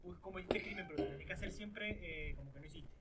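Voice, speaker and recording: Fast, high-pitched Argentine male coach. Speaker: acting coach. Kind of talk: informal coaching during improv. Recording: group chatter in background.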